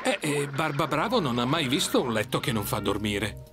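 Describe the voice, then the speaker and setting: Guy narrating a kids cartoon, really lively storyteller, music playing behind. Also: medium pitch, moderate pace. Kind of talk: scripted children's story narration.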